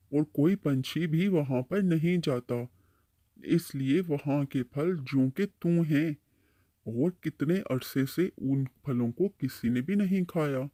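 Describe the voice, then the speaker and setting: A man's voice: on the high side, warm tone, dry recording. A man playing a kindly uncle tree in a bird cartoon, patiently explaining and advising. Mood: inviting. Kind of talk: Hindi-Urdu cartoon storytelling dialogue.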